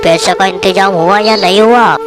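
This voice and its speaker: A woman voicing a brisk male college principal in a cartoon story. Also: medium pitch, steady tone, close to an everyday voice. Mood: businesslike. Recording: music behind the voice.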